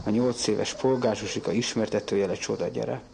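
Man, father in old radio interview, gravelly, flat delivery, faint hiss behind. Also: lowish voice. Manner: deliberate, formal phrasing. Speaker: father of the girl. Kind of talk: interviewed parent on archival radio.

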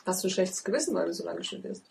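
A young woman in relaxed, amused casual chat at home, laughing easily. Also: on the low side, very fast. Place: home, at a table over tea. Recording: clear background noise.

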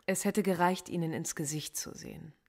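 An actress playing a cool, defiant philosophy professor under interrogation in a naturalistic radio drama, measured and dryly cutting. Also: female voice, fairly low pitch, fairly even intonation, creaky, a little mellow.

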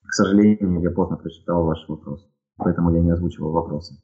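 A man from Ukraine answering listeners' questions on live radio, speaking in a measured way with pauses and fillers. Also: low voice, fairly even intonation. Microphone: headphones with headset audio. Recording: phone-like narrow audio.

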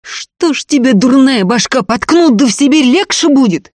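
A narrator playing a hot-tempered, rough young peasant man in a dramatic audiobook. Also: mid-range voice, normal speed, no room sound, studio quality, natural voice. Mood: furious. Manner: yells and hisses through gritted teeth.

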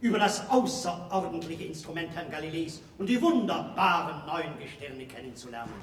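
A man's voice: on the high side, lively intonation, moderate pace, close to an everyday voice. An actor as a distant, ceremonious male courtier. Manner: ceremonious, florid. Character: formal, flattering male court official. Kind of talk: theatrical radio drama acting. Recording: distant, echoey, faintly noisy hall recording.

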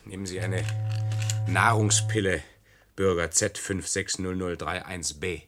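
A man's voice: deep voice, steady tone, measured pace, fairly bright tone, faint music behind. An actor playing a skeptical, suspicious worker in a German science-fiction radio drama, wry and insistent in his doubts. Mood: wary, persuasive. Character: skeptical, suspicious male worker in a regimented future.

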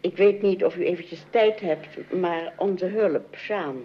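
An actress playing a polite, chatty, effusive housewife in a radio comedy drama. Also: female voice, on the low side, takes it slowly. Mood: chatty, courteous. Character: polite, chatty housewife, warm-hearted and easily impressed. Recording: dull, noisy old radio recording.

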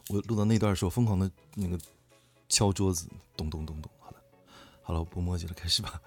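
A man's voice: sits low, brisk, soft background music. A man, a voice actor guest, chatting casually with a teasing, joking manner. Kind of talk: casual bantering chat.

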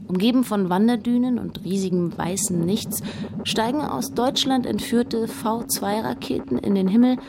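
A woman's voice: on the high side, moderate pace, gravelly, background noise. An actress playing an observant female historian, narrating in a precise, dryly descriptive manner for a radio drama.

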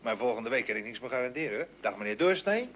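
A man's voice: medium pitch, lively intonation, fast talker, a bit bright, muffled recording. A man playing a brash, pushy used-car salesman in a radio play, boastful and full of glib patter. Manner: glib, boastful, tag questions.

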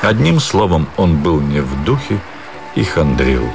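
A man narrating a literary story for a radio drama in a measured, expressive storytelling manner. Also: a bass voice, vocal fry. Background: music.

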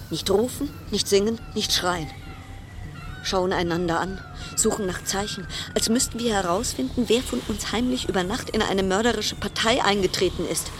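A woman's voice: medium pitch, background noise, close to an everyday voice. A woman acting in a radio play as an anxious, sardonic quarantined passenger, delivering a brooding, dramatic interior monologue.

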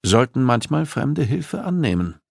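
A man voicing a female police psychologist who is polite, persistent and gently probing. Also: medium pitch, sing-song, a little slow, gravelly, husky, no echo, studio quality, pitched above the performer's own voice, heavily acted. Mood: concerned, probing.

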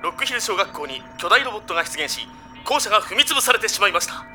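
A man's voice: sits high, big pitch swings, fast, over music. A man playing an urgent newsreader in an anime audio drama, reading a formal scripted bulletin. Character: urgent male newsreader giving a breaking bulletin. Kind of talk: scripted breaking-news reading.

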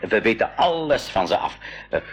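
An actor playing an eager, talkative man on a jungle expedition in a 1980s radio drama, with a lively, explanatory manner. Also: lowish voice, normal speed. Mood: earnest, persuasive. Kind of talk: 1980s Dutch-language school radio drama acting.

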